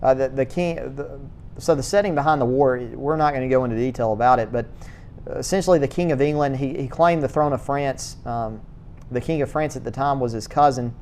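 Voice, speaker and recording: Halting male church history lecturer. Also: mid-range voice, a little mellow, slight background noise. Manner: halting, repeats words, many uh fillers. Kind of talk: classroom lecture. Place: church classroom.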